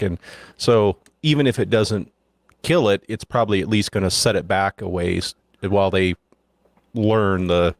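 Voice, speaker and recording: A man chats through tech commentary on a panel podcast. The voice is moderately low, and the recording is dry and free of room echo.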